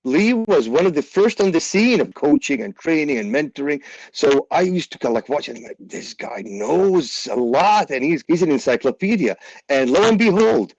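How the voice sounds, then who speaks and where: A man's voice: on the high side, sing-song, normal speed, vocal fry, darkish tone, no echo, highs cut off. A man in his forties from Iraq, a pro bodybuilder guest in a podcast interview, talking animatedly with lots of fillers and restarts. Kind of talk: casual interview talk.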